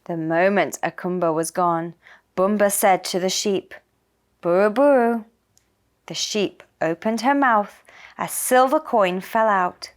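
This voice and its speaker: A woman narrating a children's folktale for a puppet show in a simple, deliberate storytelling manner. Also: mid-range voice.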